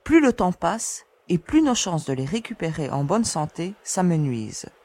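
Audio drama role: resolute woman heading a search party, played by an actress, lowish voice, firm and logical. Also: sing-song, moderate pace, darkish tone. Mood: worried. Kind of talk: French audio drama acting.

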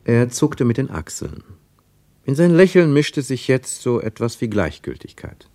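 A man narrating a radio play as a scripted literary story, with expressive storytelling delivery. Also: mid-range voice, unhurried, no room sound.

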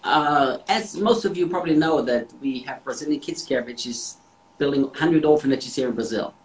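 A man's voice: medium pitch, moderate pace, a little hiss or hum, rough recording. Emphatic man preaching. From Austria. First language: German. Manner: emphatic, repeats words for stress. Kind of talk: devotional sermon with interpreter.

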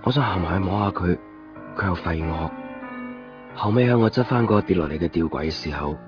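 A man's voice: deep voice, expressive, brisk. A man playing a weary, lonely shopkeeper who narrates a radio drama in a colloquial, brooding way, with a Hong Kong Cantonese accent. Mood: glum, reflective. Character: weary, lonely male copy-shop keeper. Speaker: lead actor and first-person narrator. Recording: dull lo-fi radio recording, music behind.

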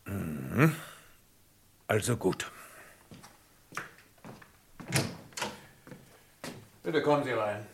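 A shrewd old-school radio drama police inspector, low voice, swoops around a lot, ironic and probing. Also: male voice, sing-song, vocal fry, a little hiss or hum, exaggerated voice. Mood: measured, probing. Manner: probing, deliberate, ironic, drawn-out questioning. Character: shrewd, methodical male French police commissioner, calmly cornering a suspect. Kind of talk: 1960s German radio crime drama acting.

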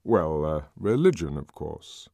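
A lively, wide-ranging voice for an urbane man in power as a male narrator acts him. He lectures with wry authority and genial teasing, in the style of a novel read aloud. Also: a bass voice, measured pace, vocal fry, no room sound, exaggerated voice. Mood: musing. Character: urbane, wry, authoritative male world controller, amused intellectual.

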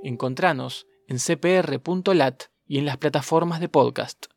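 A man's voice: a little high, moderate pace, gravelly. An Argentine-accented man reading scripted narration for a documentary trailer. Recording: loud music under narration.

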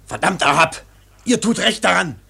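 An actor playing an excitable whaling sailor in a radio drama, crying out urgently in a hoarse, raspy voice. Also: male voice, high voice, moderate pace, full character voice. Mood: excited.

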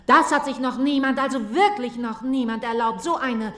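A woman's voice: high voice, normal speed, a bit bright. A woman playing an indignant, insistent actress in a radio play. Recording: echoey room with light noise.

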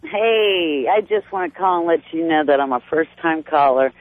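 A woman in her thirties from West Virginia with a deep voice, calling a radio show over a tinny phone line. Her pitch moves in lively swings, and the line sounds dry and boxed in. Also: normal speed, no room sound. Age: 30s. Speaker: caller.

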